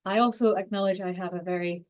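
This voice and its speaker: A woman in her forties with a strong Scottish accent, giving an online science lecture quickly, with frequent fillers and restarts. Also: medium pitch, fairly even intonation, dull audio. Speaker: university lecturer and exercise scientist.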